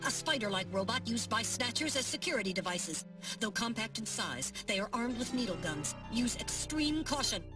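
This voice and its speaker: A woman's voice: a little high, expressive, moderate pace, lightly acted. A woman voicing a small, dutiful robot assistant in a video game, speaking in formal, precise phrases. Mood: cautious, alert. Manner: formal, precise, informative diction. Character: small polite robot personal-assistant navigator, dutiful and earnest. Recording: distant voice under loud music.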